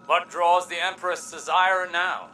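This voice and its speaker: An actor playing a formal, attentive adviser to a ruler in a video game, courtly and measured. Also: male voice, high voice, fairly even intonation, pushed and bright, far from the mic, a little hiss or hum. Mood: inquiring, calm. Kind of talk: dramatic video game acting.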